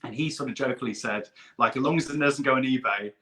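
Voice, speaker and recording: A man telling eager, rambling stories on a video call, like an excited fan. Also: medium pitch, quick pace. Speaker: guest puppeteer and performer. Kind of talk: casual chat.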